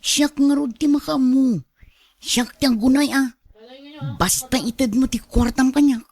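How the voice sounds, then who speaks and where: A woman's voice: high-pitched, fairly even intonation, measured pace, darkish tone. A woman playing a sly, coaxing gambling crony in a radio drama, persuasive and confident. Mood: smug, reassuring. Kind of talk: Ilocano radio drama acting.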